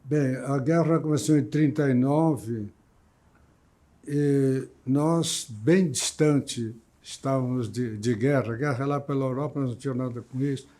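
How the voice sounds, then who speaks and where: A man's voice: mid-range voice, measured pace, dark tone. A man in his nineties, a war veteran, speaking tersely and matter-of-factly. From Brazil. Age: 90s.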